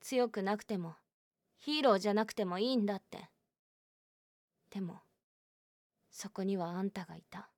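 A woman playing a stubborn, lonely high school girl who hides her weakness, speaking in a quiet, confessional voice in a voice drama. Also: normal speed, pauses often, no room sound, studio quality, natural voice. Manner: quiet, confessional, rising to anguished outbursts. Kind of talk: voice drama acting.

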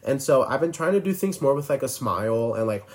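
A man's voice: medium pitch, expressive, fairly quick. Twenty-year-old male podcast host, rambling and filler-heavy, voice swooping up and down. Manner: rambling, filler-heavy, restarts sentences constantly. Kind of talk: casual solo podcast chat.

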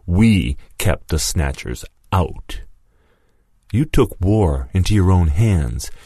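A man voicing Mason, a gruff, defiant war veteran who speaks in low, clipped lines. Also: animated, slow pace, dark tone, no room sound, studio quality, heavily acted.

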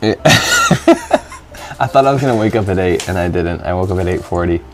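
A man's voice: low-pitched, wildly swooping pitch, moderate pace, background noise. A young man co-hosting a podcast, chatting casually, teasing and laughing often. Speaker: podcast co-host.

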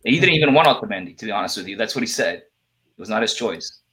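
A man with a non-native English accent chatting about sports on a podcast, excitable, swearing and restarting his sentences. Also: fairly low pitch, fast. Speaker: podcast panelist. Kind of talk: casual sports chat.

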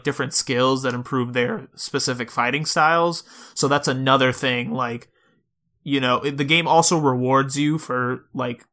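A man's voice: medium pitch, bright, forceful tone. A man co-hosting a podcast, talking casually about video games and explaining things step by step. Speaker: podcast host. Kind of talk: casual video game discussion.

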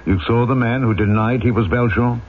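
An actor playing a dignified, guarded French mayor with a hidden past in a radio drama, speaking with dramatic control. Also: male voice, mid-range voice, steady tone, brisk, slight background noise, lightly acted. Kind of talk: old-time radio drama acting. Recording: tinny, narrow vintage radio recording.

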